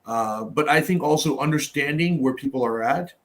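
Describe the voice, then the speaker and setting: A man's voice: mid-range voice, moderate pace. Middle-aged guy, blunt and sweary, lots of "you know" and "right?", just chatting at a roundtable. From Canada. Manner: blunt, profane, storytelling with many fillers. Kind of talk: casual roundtable discussion.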